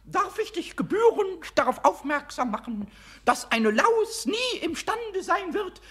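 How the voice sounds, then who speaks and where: A small, excitable kobold, with a high voice that leaps up and down. He is cheeky and mock-pompous, repeating words in a theatrical way. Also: a little slow, full character voice. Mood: pedantic, indignant. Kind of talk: children's radio play.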